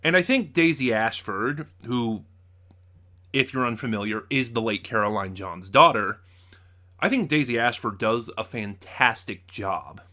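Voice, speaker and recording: A man's voice: mid-range voice, animated, a little slow, sharp tone. A man hosting a casual, unscripted review, talking in a rambling way with many asides and self-corrections. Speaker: host of a review channel.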